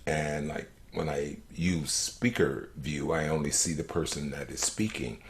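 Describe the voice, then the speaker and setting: Man, extremely deep voice, measured, thoughtful, reflective interview talk. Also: fairly even intonation.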